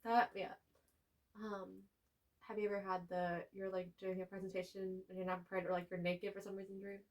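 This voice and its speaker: A young woman co-hosting a podcast, telling stories in animated casual chat. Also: medium pitch, brisk. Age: young adult. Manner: animated storytelling with frequent laughs. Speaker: podcast co-host.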